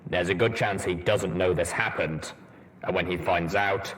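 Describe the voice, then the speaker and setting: A man voicing a stern, analytical armored stallion soldier in a story reading, speaking deliberately. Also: low voice, a bit bright, a little hiss or hum. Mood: grave, analytical. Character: grave armored male pony soldier, measured and analytical. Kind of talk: dramatised audiobook reading.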